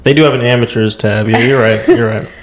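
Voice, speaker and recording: A young man co-hosting a casual comedy podcast, reacting with quick quips and swearing freely. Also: mid-range voice, steady tone, a loud crowd. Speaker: podcast co-host.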